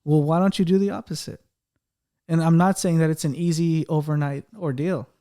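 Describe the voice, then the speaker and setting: A man's voice: a little high, fairly even intonation, normal speed, warm tone. A man hosting a podcast in casual chat, with a slight stutter, talking animatedly and acting out what other people say. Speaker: podcast host.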